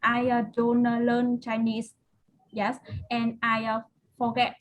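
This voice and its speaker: A woman's voice: fairly high pitch, completely flat delivery, takes it slowly, slight background noise, poor audio. A woman whose first language is Vietnamese, speaking English hesitantly with many fillers in a casual group chat. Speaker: participant.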